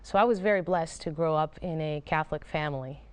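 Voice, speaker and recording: Woman from Costa Rica, interview guest, smooth resonant low-ish voice, measured and reflective. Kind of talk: recorded interview.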